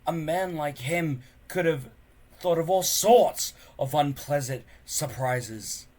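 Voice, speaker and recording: A reader voicing an earnest, hapless boy hero, pitched high. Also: slow, darkish tone. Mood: anxious, reasoning. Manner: earnest, eager. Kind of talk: children's storybook reading.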